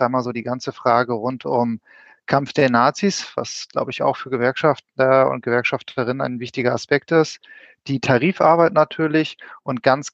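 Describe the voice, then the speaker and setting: A man's voice: medium pitch, creaky, a bit bright, dry recording. A man from Wismar in northern Germany, a podcast guest connected remotely, who talks fluently and lays out his points in order. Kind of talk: casual chat over a remote link. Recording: dry, dull remote audio.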